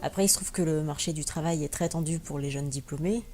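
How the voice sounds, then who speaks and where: Hesitant young woman, low mellow voice. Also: fast, faint music behind. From France. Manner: hesitant, many fillers and restarts. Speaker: guest blogger and teacher. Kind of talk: interview answers.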